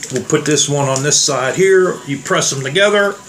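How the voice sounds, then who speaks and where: Slightly hoarse man demoing trigger locks. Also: on the high side, moderate pace. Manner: rambling, plain-spoken, addresses viewers as guys. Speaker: gun reviewer. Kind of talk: casual demo vlog.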